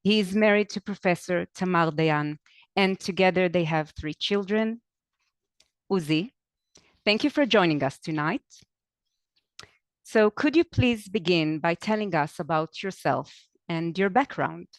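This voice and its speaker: A woman's voice: on the low side, unhurried, no room sound. Formal woman host reading a script. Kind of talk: scripted introduction and interview.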